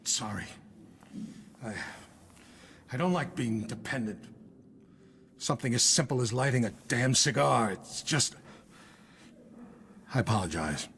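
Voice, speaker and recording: An actor playing an embittered, proud older intelligence chief in a video game cutscene, speaking with deliberate, sardonic authority. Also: male voice, mid-range voice, lively intonation, gravelly, a bit bright, slight background noise. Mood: irritable.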